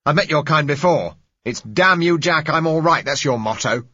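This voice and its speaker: Man doing angry thirtyish agitator, loud, raised pitch, rough-educated speech, husky edge. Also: expressive, normal speed, no room sound, exaggerated voice. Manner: rough yet educated, shouting passionately. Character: angry male street agitator, about thirty, fiery. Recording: dull, slightly lo-fi recording.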